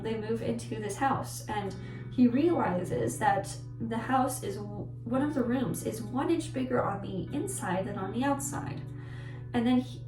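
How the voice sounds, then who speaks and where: A woman whose first language is Polish, casually talking about a book and rambling with lots of self-corrections. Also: fairly high pitch. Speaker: book vlogger. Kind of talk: casual book talk to camera. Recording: echoey room with mic at a distance and music behind.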